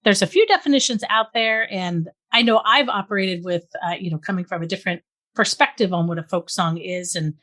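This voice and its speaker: A woman's voice: mid-range voice, fairly quick, fairly bright tone. A woman hosting a podcast, reading a friendly intro and then interviewing a guest. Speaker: podcast host.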